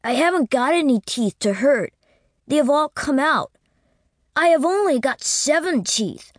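A woman doing a high, bratty American boy. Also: animated, measured pace, dry recording, clean studio sound. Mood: complaining. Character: cheeky young American boy, brash and boastful.

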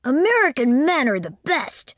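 A cheeky young American boy, boastful and loud about his home country, played by a woman reading a storybook. His voice sits high and leaps around with bratty emphasis. It is recorded close and dry. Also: very animated, normal speed, dry recording.

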